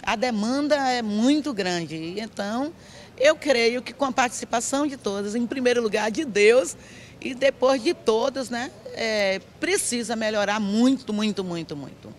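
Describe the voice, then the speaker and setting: A woman's voice: fairly high pitch, normal speed, slight background noise. A woman with a Bahian Brazilian accent giving spontaneous personal testimony in a street interview. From Brazil, interior of Bahia, raised in Salvador. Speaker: member of the public, community leader and church president. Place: outdoor public square, on a small stage. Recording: outdoor TV recording with street ambience.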